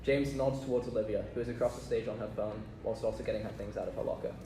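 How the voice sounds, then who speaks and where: Fast-reading male narrator in an echoey room. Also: fairly low pitch, fairly even intonation. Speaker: narrator reading stage directions. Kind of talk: scripted narration at a table read. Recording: roomy distant mic, clear background noise.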